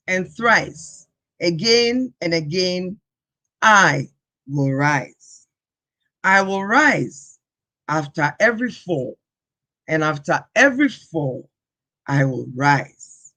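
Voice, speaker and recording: A woman hosting a talk show, speaking in a measured way, reciting slowly with emphatic pauses and then interviewing her guest. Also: lowish voice, animated, sharp tone. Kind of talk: interview with a recited poem opening.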